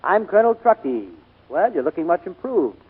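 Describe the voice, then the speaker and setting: An actor playing a hearty, pompous colonel who runs a travelling medicine show, with florid wording, in 1940s radio style. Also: male voice, sits high, very animated, brisk, breathy edge, long reverb tail, exaggerated voice. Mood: blustering, genial. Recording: hollow, tinny 1940s radio recording.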